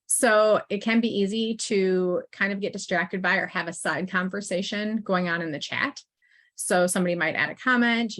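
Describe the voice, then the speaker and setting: A woman's voice: fairly low pitch, fairly bright tone. A woman presenting an online training as a consultant, talking informally with frequent "um"s and asides to the audience. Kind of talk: conversational webinar presentation.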